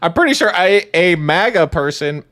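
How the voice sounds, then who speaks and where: Sarcastic man with a lively voice. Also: normal speed. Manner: sarcastic, laughing, big swings in pitch. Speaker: podcast co-host. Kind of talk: casual political chat, reading comments aloud.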